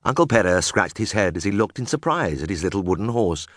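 A man's voice: deep voice, very animated, fast talker, a bit bright, dry recording, clean studio sound. A man narrating a children's story from a script as an audiobook reader.

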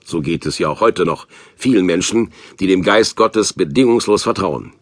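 A man playing a physician who narrates Bible adventures in a measured, reflective way for a children's audio drama. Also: sits low, expressive, no room sound, clean studio sound.

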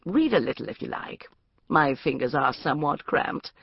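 A woman voicing a gruff, irascible older male archaeologist with a growling, blustery delivery. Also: sits low, sing-song, normal speed, no room sound, muffled recording, exaggerated voice. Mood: testy.

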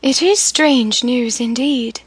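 An excitable, gentle older sister whose voice jumps up high and lively, as a woman reading a storybook puts her on. The tone stays light and bright. It is recorded close and dry. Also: slow, slightly rough, dry recording, heavily acted. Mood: excited.

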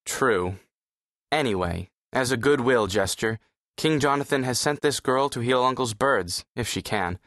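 An actor playing a bored, sarcastic young prince with an aristocratic, disdainful manner, in an audiobook. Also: male voice, medium pitch, unhurried, a bit bright, no echo, studio quality. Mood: wry disdain. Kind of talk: full-cast audiobook character acting.

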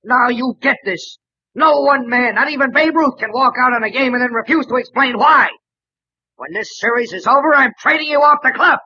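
An actor playing a sarcastic, blustering American baseball manager who scolds in an old-time radio drama. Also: male voice, very high-pitched, normal speed, bright, forceful tone, slightly rough, exaggerated voice. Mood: furious, sarcastic. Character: fuming, sarcastic little male baseball team manager. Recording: dull, lo-fi old radio recording.

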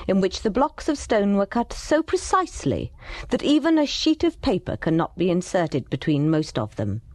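Very animated woman narrator. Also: medium pitch, no room sound. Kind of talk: dramatised documentary narration.

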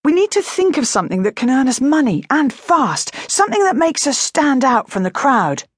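A woman's voice: high voice, sing-song, normal speed, slightly rough, no room sound, clean studio sound, pitched above the performer's own voice, full character voice. A woman voicing a resourceful, determined young farm girl in a storybook, earnest and urgent.

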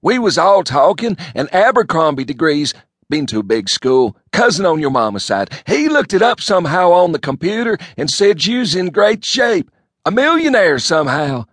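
Hick cousin read by a male narrator: raised, booming, folksy drawl, bouncing pitch. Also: very animated, normal speed, a bit bright, no room sound, studio quality. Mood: hearty, folksy. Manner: folksy drawl, ungrammatical country talk. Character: bumbling rural hick cousin, man, loud and folksy. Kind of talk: audiobook character voice.

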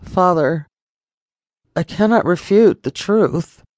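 A woman's voice: lowish voice, animated, slow, a little mellow, dry recording, studio quality, voice pushed up. A woman voicing a young daughter who speaks earnestly and firmly about her faith. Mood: resolute. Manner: earnest and resolute.

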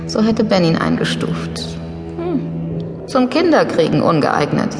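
A woman's voice: medium pitch, big pitch swings. An actress playing a troubled middle-aged woman patient in a German horror audio drama, brooding and inward, slipping into murmured asides. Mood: dark, entranced. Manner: slow, inward, murmured asides between spoken replies. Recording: long reverb, loud music behind.

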